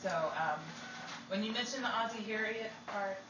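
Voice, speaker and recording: A woman's voice: mid-range voice, normal speed, music in the background. A woman performer chatting casually and explaining things during a rehearsal. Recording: roomy, distant, noisy amateur recording.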